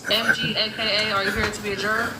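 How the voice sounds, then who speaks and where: A woman's voice: mid-range voice, level tone, fast talker. A woman hosting a mock courtroom on a live stream, calling up jurors and keeping order. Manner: directive, runs the proceedings. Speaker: mock court judge, host. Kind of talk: casual livestream hosting. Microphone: phone on live stream. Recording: echoey room with noise.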